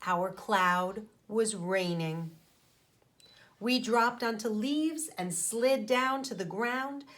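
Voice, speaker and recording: A woman teacher reading a children's story aloud expressively, pausing to explain things to her young listeners. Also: medium pitch, fairly bright tone. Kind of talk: animated storybook read-aloud with asides to children.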